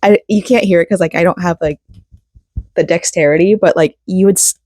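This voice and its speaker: An American woman in her thirties chats in a rambling, personal vlog style. Her voice is low, soft-edged and mellow, with a hoarse rasp. The sound is dry, with no room echo. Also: fairly quick. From Florida, United States. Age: 30s. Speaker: vlogger.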